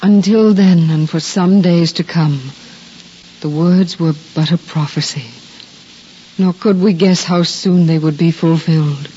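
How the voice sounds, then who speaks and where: Woman acting gentle, devout biblical mother. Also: fairly low pitch, steady tone, background noise, close to an everyday voice. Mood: solemn. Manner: solemn, measured, formal. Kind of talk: 1950s radio drama narration. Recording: dull 1950s radio recording, music behind.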